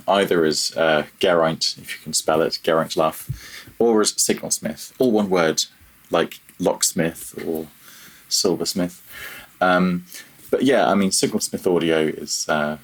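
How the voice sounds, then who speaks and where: A man's voice: extremely low pitch, low-quality recording. A middle-aged British man chatting casually as an interview guest, rambling informally and trailing off mid-thought. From United Kingdom. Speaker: interview guest, freelance audio programmer. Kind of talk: casual chat.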